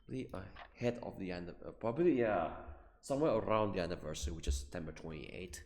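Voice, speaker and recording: A man giving casual live gaming commentary, thinking aloud haltingly and repeating his phrases. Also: low voice, expressive, a little mellow, slight background noise. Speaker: game streamer. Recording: roomy echo, faint game music behind.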